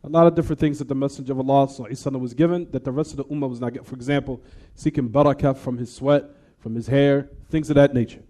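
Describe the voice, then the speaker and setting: A man's voice: medium pitch, fairly even intonation, rapid-fire, few pauses, dark tone. A man teaching a class on hadith, explaining in English with recited Arabic and often quizzing the students with "what?" questions. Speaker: Islamic teacher.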